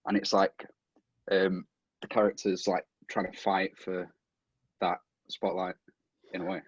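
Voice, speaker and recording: A man with a lowish, husky voice talks casually, thinking aloud in halting phrases. The sound is muffled, like a video call. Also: little pitch movement, no room sound. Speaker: audience member giving feedback. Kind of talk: casual spoken feedback.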